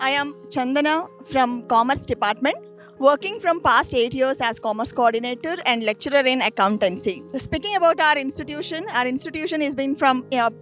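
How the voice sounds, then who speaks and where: Indian-accented woman educator, high voice, careful formal presentation, extremely unhurried, light background music. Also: a bit bright. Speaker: college lecturer and commerce coordinator. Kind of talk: prepared institutional introduction in English.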